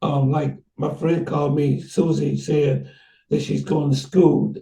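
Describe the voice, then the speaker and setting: A man with a gravelly, very dark voice talks in a loose, rambling way, often restarting his sentences. He sits back from the mic in an echoey room. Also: on the high side, level tone, far from the mic. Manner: rambling, halting, many restarts. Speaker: host. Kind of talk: casual conversation.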